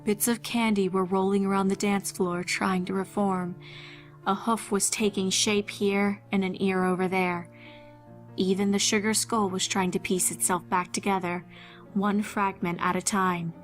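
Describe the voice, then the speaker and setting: A woman's voice: medium pitch, little pitch movement, a little slow, soft background music. A woman narrating a scripted horror fan fiction story.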